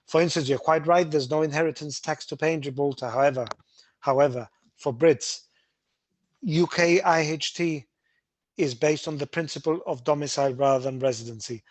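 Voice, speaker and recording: A man's voice: a little high, dry recording. Emphatic man explaining tax rules. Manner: brisk, emphatic, with restarts. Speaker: webinar host, Gibraltar tax adviser. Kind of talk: explanatory webinar talk.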